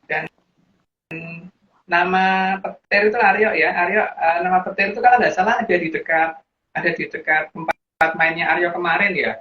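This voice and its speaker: A man's voice: high voice, fairly even intonation, moderate pace. A man chatting casually on a live stream, rambling with fillers and restarts as he tells a local legend. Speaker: co-host. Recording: dull lo-fi stream audio.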